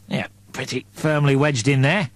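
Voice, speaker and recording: A man voicing a brisk, evasive, self-serving priest with a breezy, offhand manner in an audiobook. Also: medium pitch, steady tone, no echo, natural voice. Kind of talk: audiobook character voice.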